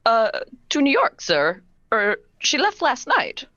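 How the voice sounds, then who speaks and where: A woman's voice: a little high, huge pitch swings, slow, bright, forceful tone, dry recording, muffled recording, heavily acted. A woman playing a polite, deferential hotel clerk in a comic radio play, prim and discreet.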